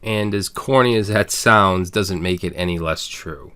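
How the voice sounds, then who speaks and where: A man's voice: deep voice, a little slow, pushed and bright, smooth. A man in his thirties with a Boston accent, hosting a programming channel and talking candidly to viewers in a plain, earnest way with frequent fillers. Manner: earnest, plain-spoken, frequent "all right" and "um". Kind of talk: candid personal talk to viewers.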